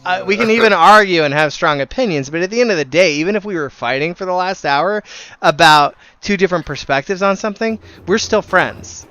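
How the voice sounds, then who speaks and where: Bright, clear-voiced man chatting while gaming. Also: high-pitched, a little fast, no echo. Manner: earnest, rambling, swears casually. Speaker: leader of a gaming group, streamer. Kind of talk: casual talk while playing a game on stream.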